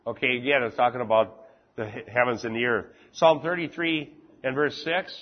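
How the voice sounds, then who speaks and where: A man teaching an informal Bible class, chatting with the group and reading scripture slowly and deliberately. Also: mid-range voice, lo-fi sound. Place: classroom with fans running.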